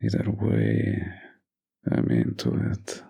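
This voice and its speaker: Very deep, gravelly mafia boss boyfriend, acted by a man. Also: big pitch swings, takes it slowly, mellow, full character voice. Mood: doting, teasing. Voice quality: gravelly, husky. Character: dominant young mafia boss boyfriend, menacing yet doting. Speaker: voice actor. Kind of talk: scripted romantic audio roleplay.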